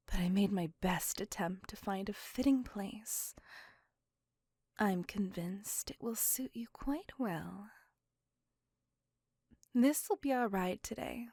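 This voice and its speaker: A woman playing a courteous, doting, possessive vampire in a hushed, breathy ASMR roleplay, speaking gently in formal, courtly phrases. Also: medium pitch, no echo, studio quality. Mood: tender, charmed. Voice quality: breathy, hushed near-whisper.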